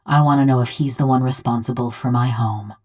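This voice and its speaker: Low-pitched, vengeful bronze construct woman. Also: fairly even intonation, a little fast, a little mellow, husky. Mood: grim, haunted. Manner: folksy rustic drawl, sardonic. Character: vengeful, wry bronze construct housing a murdered village woman's soul. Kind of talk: video game character dialogue.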